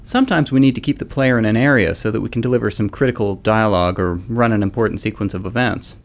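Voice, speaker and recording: A man's voice: fairly low pitch, fast. A man speaking as a game developer, giving narrated commentary.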